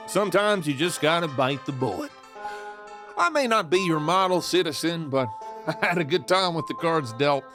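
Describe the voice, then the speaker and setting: Cocky silver-tongued outlaw, played by a man, highish and very animated voice, showboating western audio drama over music. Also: moderate pace, natural voice. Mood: wry, resigned. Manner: showboating, chuckling, theatrical. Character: cocky, fun-loving, silver-tongued male outlaw of the Old West. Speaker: outlaw narrator and lead character.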